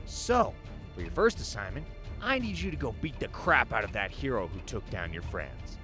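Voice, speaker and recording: A man's voice: lowish voice, big pitch swings, pushed and bright, faint music behind. An actor playing a menacing male crime boss in an audio drama, taunting and falsely friendly as he toys with his prey. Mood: taunting, commanding. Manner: taunting, toying, drawn-out threats.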